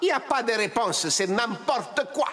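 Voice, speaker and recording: High-pitched, theatrical jovial cartoon king. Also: lively intonation, normal speed. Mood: astonished. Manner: theatrical, exclaiming, booming showman delivery. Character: jovial older king, doting father who loves riddles. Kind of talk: French children's cartoon voice acting. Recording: music behind the voice.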